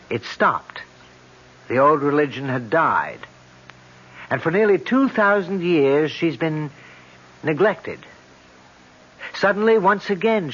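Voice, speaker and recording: An actor playing a measured storyteller in a radio drama, deliberate with dramatic pauses. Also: male voice, fairly high pitch, fairly bright tone. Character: measured storyteller recounting legend of a goddess. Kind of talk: 1970s radio drama acting. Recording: lo-fi old radio with faint noise.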